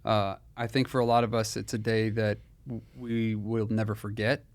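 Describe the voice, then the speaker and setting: A man hosting a conversational interview, asking measured questions and giving short back-channel replies. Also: on the low side, little pitch movement. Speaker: interview host. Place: Texas.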